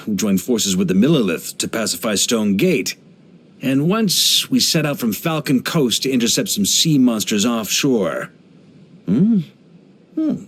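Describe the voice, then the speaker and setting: An actor playing a genial, laid-back middle-aged knight commander in a video game, with a theatrical, easygoing manner. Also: male voice, fairly low pitch, husky. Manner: jovial, laid-back, theatrical, given to chuckles. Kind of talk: English video game character voice lines.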